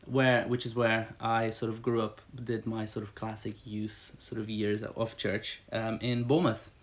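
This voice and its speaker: A man's voice: lowish voice, little pitch movement, measured pace. A man in his thirties who grew up in Brazil, rambling chattily through interview answers about his church job, with many fillers and restarts. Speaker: church staff member.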